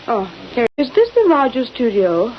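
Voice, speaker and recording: An actress playing a plucky young newspaper photographer with an American accent, snappy and wisecracking, in old radio drama. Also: female voice, on the high side, very animated, normal speed. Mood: brisk, bossy. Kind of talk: 1930s-40s radio acting. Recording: hissy, muffled old radio recording.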